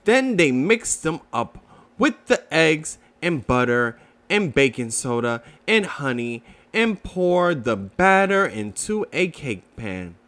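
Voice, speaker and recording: Slow, high-voiced, animated male storybook reader. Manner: expressive, deliberate delivery for young children. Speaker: children's book reader. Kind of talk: read-aloud storybook narration.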